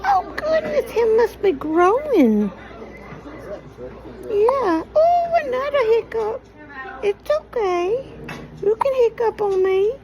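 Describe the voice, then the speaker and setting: A woman's voice: extremely high pitch, very animated, takes it slowly, pauses often, dull audio, a crowd in the background. A woman cooing to a baby in doting, repetitive, sing-song soothing baby talk.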